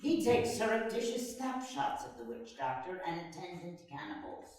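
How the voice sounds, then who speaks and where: A woman's voice: fairly low pitch, sing-song, normal speed, darkish tone. Woman, scripted dramatic narration, stage directions, very echoey, far from mic.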